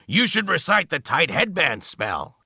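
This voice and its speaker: A woman voicing a gruff male pig-monster in a storybook, grumbling in a raspy, creaky voice. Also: deep voice, big pitch swings, measured pace, pushed and bright, no room sound, studio quality, pitched below the performer's own voice, heavily acted.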